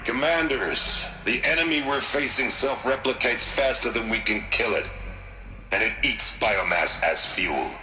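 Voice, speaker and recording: A man's voice: mid-range voice, measured pace, natural voice. A man with an American accent playing a resolute, commanding middle-aged military chief in a game, firm and authoritative. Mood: grave, commanding. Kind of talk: video game cutscene voice acting. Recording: roomy echo, music behind.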